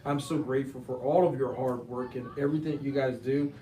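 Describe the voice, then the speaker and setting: A man in his fifties hosting a casual panel talk about relationships, laughing often and rushing when time runs short. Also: mid-range voice, steady tone, mellow. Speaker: host. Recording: echoey room, mic held at a distance, faint noise.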